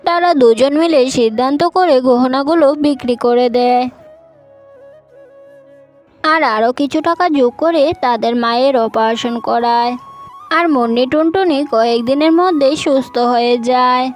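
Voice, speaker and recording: A woman's voice: sits high, moderate pace, soft background music. A woman narrating a scripted cartoon tale for children as a storyteller.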